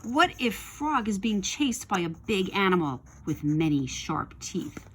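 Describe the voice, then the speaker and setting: Woman voicing an excitable, anxious storybook toad fellow. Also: mid-range voice, measured pace, slightly rough. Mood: worried, fretful. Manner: exclaiming, urgent, questioning. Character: anxious, excitable male toad, fretting dramatically. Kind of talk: children's storybook read-aloud.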